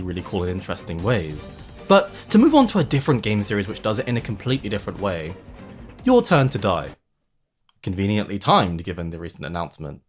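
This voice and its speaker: A man narrating a video essay in an explanatory, measured way with emphatic phrasing and casual asides. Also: lowish voice. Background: background music.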